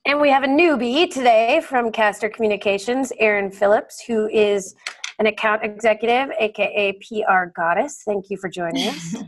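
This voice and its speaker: A woman's voice: mid-range voice, normal speed, slight background noise. A woman co-hosting a podcast who is recovering from losing her voice, making friendly introductions. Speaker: podcast co-host. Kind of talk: casual podcast discussion.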